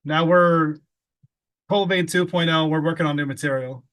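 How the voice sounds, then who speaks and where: A man in his thirties, laid-back and quick to laugh, chatting about his band. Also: a little high, steady tone, a bit bright. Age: 30s. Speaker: band member. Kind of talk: casual interview chat.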